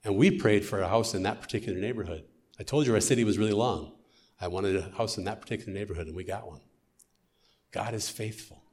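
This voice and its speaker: A man's voice: fairly low pitch, fast talker, a little mellow. A middle-aged American man, a missionary, giving an earnest storytelling testimony in church. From United States.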